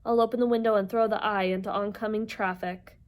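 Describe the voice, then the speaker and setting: A woman's voice: medium pitch, steady tone, brisk, natural voice. An exasperated boy hero read by a woman in a fantasy audiobook. He is wry and stubborn, with alarm close under the surface. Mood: stubborn demanding.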